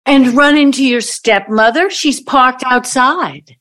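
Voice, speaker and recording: A woman's voice: high-pitched, takes it slowly, fairly bright tone. A woman playing a wounded, vengeful older ex-girlfriend in an audio play, speaking in a dramatic, pointed way. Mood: defiant. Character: bitter, wounded, vengeful ex-girlfriend from mogul's college days.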